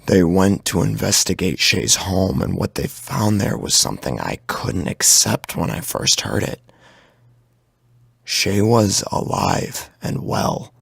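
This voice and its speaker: A man acting an anguished, guilt-ridden victim narrating his own horror story in a gravelly, husky, halting voice. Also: low-pitched, full character voice.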